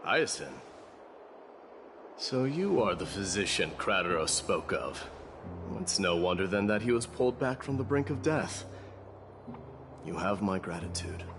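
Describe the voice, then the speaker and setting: An actor playing a stoic warrior prince and demigod in a fantasy game, speaking in a formal, grave, measured manner. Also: male voice, deep voice, lively intonation, background noise. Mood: courteous, grave.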